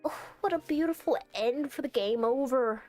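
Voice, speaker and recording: A woman's voice: sits high, moderate pace, warm tone, faint music behind. A woman streaming a game playthrough with effusive, theatrical, exclaiming commentary. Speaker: streamer playing a visual novel.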